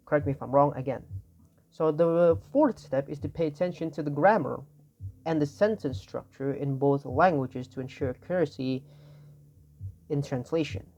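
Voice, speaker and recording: A young man with an Indonesian accent gives a loose tutorial, his voice raspy from not sleeping, and he rambles and keeps correcting himself. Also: a little high, normal speed, dark tone, lo-fi sound. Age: young adult. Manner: tired, rambling, self-correcting. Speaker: student. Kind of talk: informal tutorial explanation.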